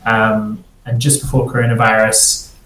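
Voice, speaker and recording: A man's voice: on the low side, completely flat delivery, moderate pace, fairly bright tone. A man who grew up in Singapore, a freelance developer, talking casually in an interview and rambling with many restarts. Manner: rambling, restarts often, fills with "like". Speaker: guest, freelance front-end developer. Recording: roomy echo, faint ambient noise.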